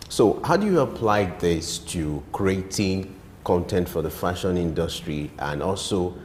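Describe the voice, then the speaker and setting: A man's voice: low voice, animated, unhurried, big-room sound, slight background noise. A man interviewing a guest on TV, asking long, winding questions.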